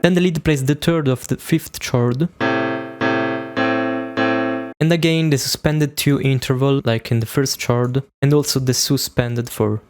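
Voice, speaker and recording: A man's voice: medium pitch, steady tone, normal speed, hardly any pauses. A young man with an Italian accent, casually explaining how a beat is made in a music production tutorial. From Italy. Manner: casual, self-deprecating, explanatory. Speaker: beatmaker YouTuber.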